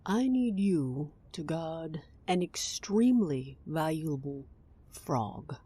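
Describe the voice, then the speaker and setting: A woman playing a cool, imperious, businesslike client in an audio drama, haughty and deadpan, with a breathy, husky voice. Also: low-pitched, slow pace, full character voice. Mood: cool, curt.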